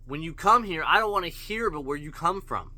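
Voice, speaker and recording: A man's voice: on the high side, lively intonation, fairly quick, pushed and bright. A man ranting bluntly to his viewers, profane and full of rhetorical questions. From Canada. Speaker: vlogger. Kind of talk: opinion rant to camera.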